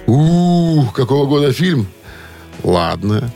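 A man's voice: mid-range voice, big pitch swings, slow pace, gravelly, dark tone, soft background music. A man hosting a radio quiz in a casual, chatty, hesitant and informal manner. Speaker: radio show host running a music quiz.

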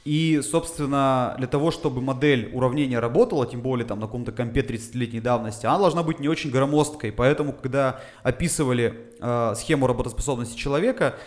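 A man's voice: medium pitch, fast, hardly any pauses. Man methodically explaining training science. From Urals, Russia. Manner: methodical explainer with filler sounds. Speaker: guest, fitness coach. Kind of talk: casual podcast conversation.

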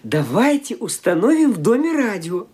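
An actor playing an ingratiating young nephew in a radio play, affectionate and persuasive in a coaxing way. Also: male voice, high-pitched, very animated, moderate pace, darkish tone, slightly rough, full character voice. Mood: eager.

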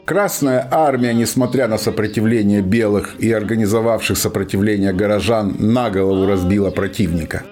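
A man's voice: medium pitch, a little mellow. A man reading scripted dramatic narration as the narrator of a radio play. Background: music.

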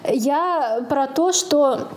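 A woman with a high voice talks in a frank, colloquial, joking style, like a co-host sharing stories in casual discussion. There is faint noise behind her. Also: drawn-out words. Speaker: podcast co-host. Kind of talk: casual discussion with personal anecdotes.

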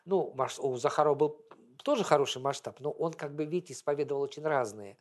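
A man's voice: fairly high pitch, quick pace. A man giving an informal lecture on theatre history, talking in a rambling, digressive, conversational way full of anecdotes.

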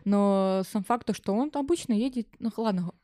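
A woman chatting casually and informally on a podcast, slangy and halting as she works out her thoughts. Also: medium pitch, dark tone, no room sound, studio quality.

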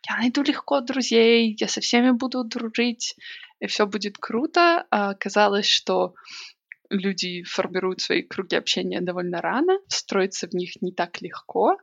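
A woman speaking as a podcast guest in casual, reflective talk, thinking aloud with pauses. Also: medium pitch, animated, creaky. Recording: dull lo-fi recording.

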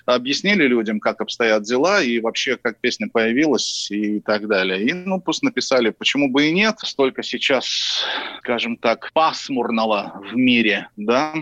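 A middle-aged man from Russia, a band leader, chatting with lively, laughing energy in a live phone-in interview. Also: medium pitch, moderate pace, dry recording. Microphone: phone line. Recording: muffled dry phone line.

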